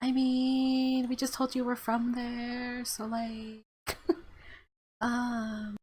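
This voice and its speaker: A woman's voice: fairly high pitch, monotone, drawn-out words, darkish tone, slight background noise. A woman streaming a game with casual, playful commentary, reactive and prone to giggling. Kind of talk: casual let's play commentary with read-aloud narration.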